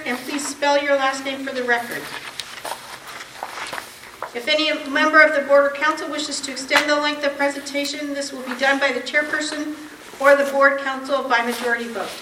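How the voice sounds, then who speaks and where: A woman running a town advisory board meeting, briskly reading procedure aloud. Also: high voice. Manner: brisk, reads rules aloud plainly. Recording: echoey meeting room from far off, noisy.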